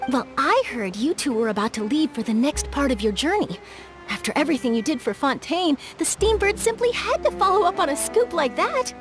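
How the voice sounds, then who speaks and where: A woman's voice: high-pitched, very animated, a little fast, over music. A woman playing a perky, eager young newspaper reporter in a video game, bubbly and enthusiastic. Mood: friendly, chatty.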